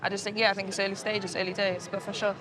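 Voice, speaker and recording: A woman's voice: medium pitch, flat delivery, quick pace. A young woman from Cardiff with a Cardiff accent, a rapper giving a casual interview and thinking aloud with fillers and restarts. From Wales. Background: music at an outdoor festival.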